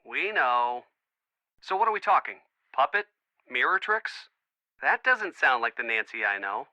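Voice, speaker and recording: A man's voice: mid-range voice, sing-song, measured pace, bright, forceful tone, no room sound, exaggerated voice. An actor playing a friendly, earnest young man in a video game, chatty and reassuring as if on a call. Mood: friendly, helpful. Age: young adult. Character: friendly young man, earnest, bookish, supportive boyfriend type. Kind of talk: video game voice acting, phone conversation.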